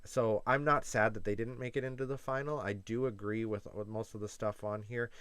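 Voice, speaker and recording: A young man from Canada talks through his reactions in a loose, chatty way, hedging and restarting as he weighs his opinions. His voice sits low and comes across smooth and resonant. The sound is close and dry, with no room around it. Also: fairly even intonation, brisk, a bit bright, no echo. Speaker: YouTube reaction host. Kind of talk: casual reaction commentary.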